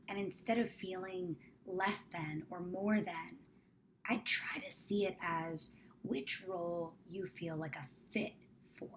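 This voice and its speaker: A woman with a slightly low voice guides a meditation. She speaks in a measured, gentle and often hushed manner, giving soothing instructions. There is faint noise in the recording. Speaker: meditation guide, company founder.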